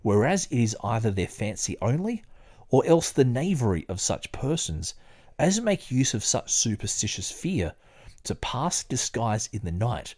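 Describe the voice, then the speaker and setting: A man hosting a podcast, moving between halting, digressive casual talk and reading aloud. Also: mid-range voice, big pitch swings, darkish tone. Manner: hesitant, halting, digressive when talking. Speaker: podcast host.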